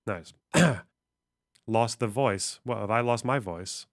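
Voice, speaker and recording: A man's voice: lowish voice, takes it slowly, dry recording, studio quality. A man streaming, reading text aloud and musing on it casually, swearing offhandedly. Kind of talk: casual livestream commentary.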